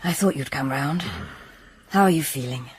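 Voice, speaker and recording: An actress playing a brisk, reassuring young female clinic therapist in a radio drama, matter-of-fact and soothing. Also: extremely low pitch, husky, exaggerated voice. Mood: gentle, confiding.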